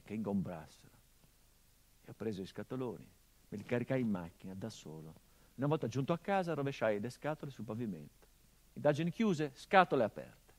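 An actor as a bitter, haunted grieving son. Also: male voice, close to an everyday voice. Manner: bitter irony, shifting from loud outbursts to low hushed tones. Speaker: stage actor. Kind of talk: theatrical monologue.

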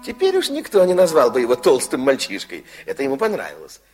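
A man narrating a radio fairy tale in an expressive, wry storytelling manner. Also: mid-range voice, fast, husky, soft background music.

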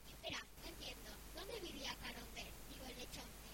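A woman's voice: sits high, expressive, moderate pace. A woman playing an inquisitive, pedantic little girl who keeps questioning in a comedy sketch. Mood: nagging, curious. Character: nagging, inquisitive little girl hearing a bedtime-style story. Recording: very noisy amateur recording.

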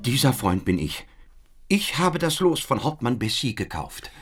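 A man's voice: mid-range voice, sing-song, measured pace, faint music behind. A frantic, indignant father. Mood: aggrieved. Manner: agitated, swinging from shouts to mutters. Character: excitable middle-aged father, indignant and frantic.

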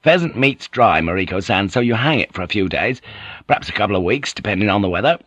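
A man's voice: fairly low pitch, expressive, quick pace, a bit bright, dry recording. A man voicing a blunt, opinionated, irritable European man of the sea in an audiobook. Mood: matter-of-fact. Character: blunt, irritable European seafarer living in Japan.

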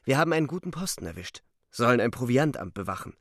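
A young front soldier recounts the war in terse present-tense lines. His voice sits in a middle register, in the style of a literary radio play. Clear music runs underneath. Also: medium pitch, big pitch swings, quick pace, fairly bright tone. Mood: brisk, vivid.

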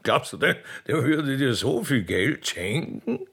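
A man playing a genteel, condescending but kindly old emperor with an Austrian accent and a gravelly voice in a radio play. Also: mid-range voice, sing-song, unhurried, fairly bright tone, exaggerated voice. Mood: amused, indulgent. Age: older. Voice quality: gravelly, husky. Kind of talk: radio play character acting.

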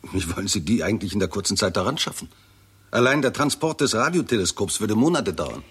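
An actor playing a blunt, sardonic, self-assured scientist in a 1960s radio play, with a dry, assertive way of talking. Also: male voice, on the low side, very animated, quick pace, fairly bright tone, slightly rough, heavily acted. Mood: sceptical, impatient.

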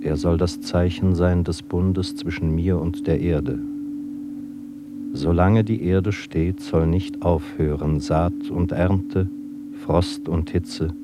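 An actor playing a mysterious, otherworldly man in a radio drama, speaking with solemn, measured, cryptic calm. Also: sits low, very noisy. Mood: solemn, prophetic. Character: mysterious man in a raincoat, otherworldly, calmly implacable. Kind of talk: German radio drama acting.

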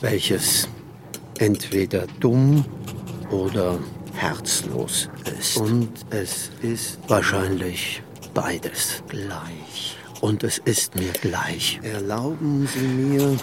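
Slow, dry, cynical middle-aged bachelor. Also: male voice, lowish voice, lively intonation, background noise. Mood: bitter, offended. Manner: dry, formal, sardonic, deliberate phrasing. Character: cynical, eccentric middle-aged bachelor, misanthropic mill owner. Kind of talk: radio drama, letter read in character. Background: music.

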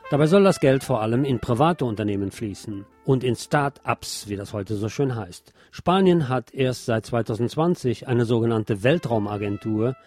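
Mellow-voiced man reading the news over music. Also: medium pitch, expressive, normal speed, few pauses. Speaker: radio news presenter. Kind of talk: scripted news narration with brief asides.

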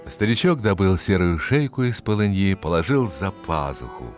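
A man narrating a children's animal story in an expressive, scripted storytelling style. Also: low voice, moderate pace, soft background music.

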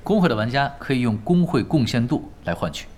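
Actor as lively-pitched, confident young male gamer. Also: fairly quick, natural voice. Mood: relaxed. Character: calm, confident young male pro gamer planning a comeback. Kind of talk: Chinese audio drama acting. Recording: studio voice over clear background music.

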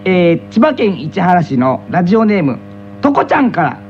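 A man's voice: sits high, big pitch swings, moderate pace, slight background noise. A man guesting on a radio show, chatting playfully and clowning with mock-pleading banter. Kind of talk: playful casual radio chat. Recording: dull lo-fi radio recording, music behind.

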